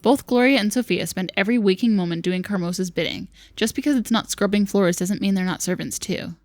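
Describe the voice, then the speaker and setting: A woman's voice: mid-range voice, brisk, darkish tone, no echo, lightly acted. Woman as a reflective young servant heroine. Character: wistful, quietly defiant young servant woman. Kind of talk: reading visual novel narration and dialogue aloud. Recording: music behind the voice.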